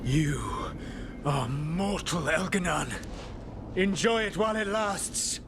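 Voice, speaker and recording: An actor playing a weary, regretful ancient elven god in a video game, speaking in a formal, measured, sorrowful way. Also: male voice, music in the background. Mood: defiant. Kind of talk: dramatic video game cutscene acting. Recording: loud ambient rumble behind voice.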